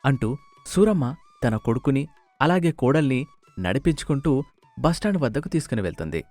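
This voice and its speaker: A man's voice: mid-range voice, lively intonation, normal speed, darkish tone, no echo. A man narrating a scripted moral story as its storyteller. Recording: music under the voice.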